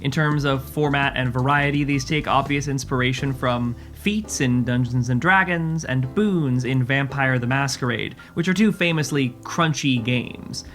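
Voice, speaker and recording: A woman's voice: very deep voice, moderate pace, few pauses, soft background music. A woman hosting a podcast interview in an articulate, orderly way, as if partly reading from prepared notes. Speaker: podcast host and game master.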